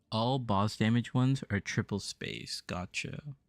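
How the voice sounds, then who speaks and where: A man's voice: medium pitch, vocal fry, bright, forceful tone, no echo. A young adult man from the US East Coast, musing aloud and answering chat during a casual game stream. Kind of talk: casual live-stream chat while playing.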